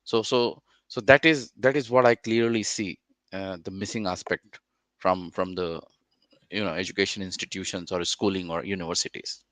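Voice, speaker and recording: A man's voice: low voice, steady tone, normal speed, fairly bright tone, no room sound. A man giving blunt, conversational opinions as a panelist.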